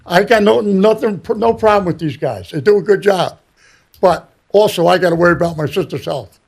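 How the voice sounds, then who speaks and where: A high-pitched man with a raspy, gravelly, hoarse voice, speaking as a resident at a village planning board meeting. He is worried and insistent and keeps repeating his concerns. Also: brisk. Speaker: resident speaking at public comment.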